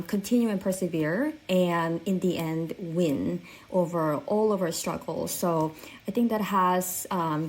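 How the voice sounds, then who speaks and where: A woman's voice: fairly low pitch, poor audio. A woman in her thirties from South Korea with a Korean accent, chatting earnestly as an interview guest, with many "like" fillers. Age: 30s. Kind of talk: casual interview chat.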